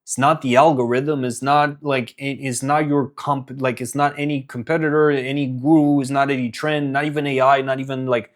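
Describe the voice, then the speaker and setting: A man's voice: medium pitch, flat delivery, moderate pace, hardly any pauses. A young man from Romania giving a casual, earnest motivational talk as a business coach, with frequent fillers and restarts.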